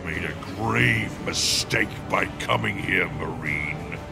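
An actor playing a menacing, arrogant monstrous villain in a video game, gravelly and condescending. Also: male voice, deep voice, takes it slowly, loud music behind the voice, full character voice.

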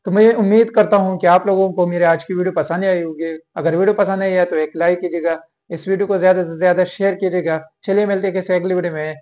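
Young adult male, high voice, for presenting step-by-step phone how-to videos. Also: fairly even intonation, quick pace, hardly any pauses, darkish tone. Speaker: tech tutorial host. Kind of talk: step-by-step phone tutorial to viewers. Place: small indoor room at home.

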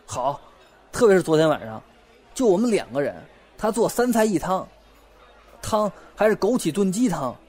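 A man's voice: high voice, sing-song, normal speed, a little mellow. A young man acting in an audio drama as an anxious, sleepless bookshop owner who hesitates and rambles as he confides in a friend. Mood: agitated. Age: young adult.

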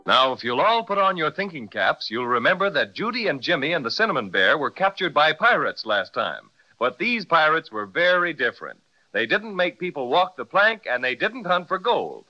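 A man's voice: on the high side, big pitch swings, normal speed, sharp tone, soft background music. A man narrating a children's story in 1930s radio style, speaking directly to young listeners. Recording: dull, muffled 1930s radio recording.

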